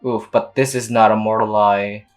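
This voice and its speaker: A woman's voice: extremely low pitch, fairly even intonation, moderate pace, soft background music. A woman streamer giving casual reaction commentary while playing a video game. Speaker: gameplay streamer.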